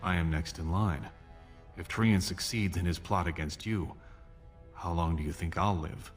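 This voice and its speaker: An actor playing a scheming younger dwarf prince in a fantasy video game, measured, persuasive and conspiratorial. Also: male voice, deep voice, faint music behind. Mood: persuasive intrigue.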